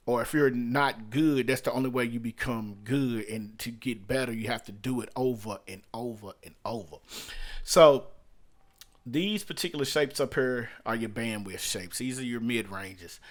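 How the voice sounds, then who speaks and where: A middle-aged man teaching an unscripted software tutorial as a music producer, conversational and repeating his points for emphasis. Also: medium pitch. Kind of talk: informal screen-share tutorial, unscripted. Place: small room at home.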